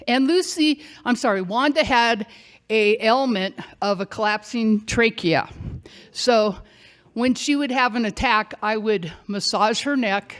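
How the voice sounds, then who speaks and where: An older woman telling a personal story live on a theater stage, chatty and animated, calling out loudly when she acts out scenes. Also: a little high.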